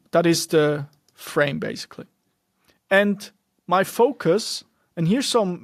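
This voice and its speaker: A man's voice: on the high side, no room sound, studio quality. A young man from Switzerland, speaking English as an in an earnest, loosely structured solo podcast monologue. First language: German. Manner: earnest, loosely structured, frequent restarts and fillers. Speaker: podcast host.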